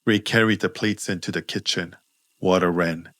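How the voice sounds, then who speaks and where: A man with a deep voice narrates scripted fiction. His delivery is measured and understated, and the recording is close and dry. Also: steady tone, a bit bright, no room sound. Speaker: author and narrator.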